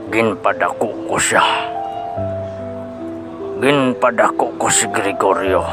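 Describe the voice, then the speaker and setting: A grim, high-stakes gambling general, acted by a man in an audio drama. He has a fairly deep voice and speaks slowly, with weighty dramatic delivery under clear music. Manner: deliberate, dramatic.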